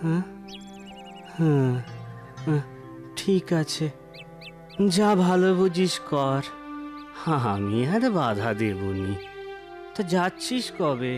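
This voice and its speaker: Halting, slow older village landlord. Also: male voice, on the high side, expressive, music in the background. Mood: resigned. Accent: Bengali. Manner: halting, stammering, pleading. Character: fond older village landlord, fatherly and hesitant. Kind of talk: cartoon voice acting.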